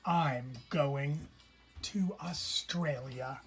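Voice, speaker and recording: A man's voice: fairly high pitch, very slow, loud music behind the voice. A man voicing a sulky young boy in a storybook, with whiny, dramatic complaints. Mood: sullen. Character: grumpy, sulky young boy having a rotten day.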